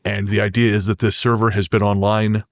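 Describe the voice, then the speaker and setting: A man's voice: on the low side, level tone, a little fast, dry recording, studio quality. A man speaking as a podcast guest, explaining things in a conversational interview style. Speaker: podcast guest, horror writer.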